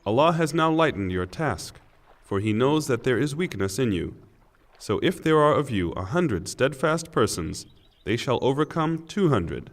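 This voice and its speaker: A man reading a scripture translation from a script in a measured, formal and solemn way. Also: mid-range voice, big pitch swings. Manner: measured, formal delivery in short phrases. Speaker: reader of English scripture translation.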